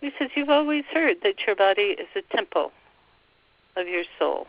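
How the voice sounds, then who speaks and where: A woman leading a slow guided meditation, softly passing on a spiritual guide's words phrase by phrase. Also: mid-range voice, very animated, dry recording. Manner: soft, relaying every phrase with "he says". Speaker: channeler relaying a spiritual guide. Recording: dull, dry lo-fi recording.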